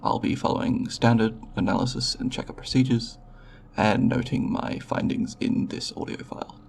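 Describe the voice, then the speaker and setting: A man playing a nervous, formal alien researcher in an ASMR audio roleplay, with a creaky voice and precise, clinical, hesitant wording. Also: on the low side, moderate pace, slight background noise. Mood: clinical, measured. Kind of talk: ASMR roleplay, recorded report then reassurance.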